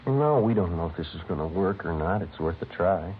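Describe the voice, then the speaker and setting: An actor playing a terse, methodical American police sergeant, matter-of-fact and procedural, in 1950s radio drama style. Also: male voice, low-pitched, animated, brisk, narrow, tinny audio. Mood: businesslike. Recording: scratchy, band-limited vintage radio recording.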